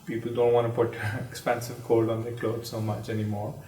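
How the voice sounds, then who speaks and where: Distant man with a flat, even voice. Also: medium pitch, a little fast, slight background noise. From Pakistan. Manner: hesitant, many fillers and restarts. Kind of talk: reflective personal storytelling. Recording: echoey room, distant mic.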